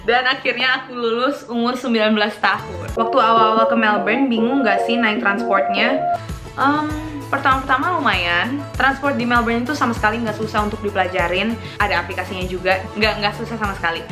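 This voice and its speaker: A woman's voice: on the high side, fairly quick, over music. A young woman from Indonesia answering viewer questions in a casual vlog, chatty and mixing English into her talk, laughing often. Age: young adult. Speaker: vlogger.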